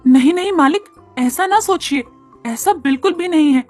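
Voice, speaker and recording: A woman as a high-voiced, gentle young cow. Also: animated, normal speed, faint music behind. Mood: pleading. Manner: polite, pleading. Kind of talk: animated moral story character voice.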